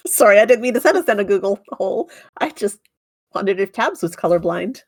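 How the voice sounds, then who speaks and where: A woman's voice: medium pitch, sing-song, a little fast, dry recording, clean studio sound. A woman playing a tabletop game, talking in casual banter.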